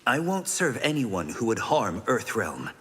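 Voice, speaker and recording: A man playing an earnest, idealistic young hero in a fighting game, calm and principled. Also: on the low side, measured pace. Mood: calm resolve. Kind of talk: video game fight intro banter. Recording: hall reverb, clear noise.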